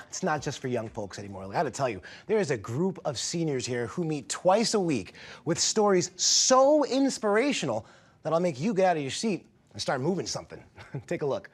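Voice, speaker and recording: A man reporting live on location from a gym for a television news show. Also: on the high side. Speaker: field reporter. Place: inside a gym.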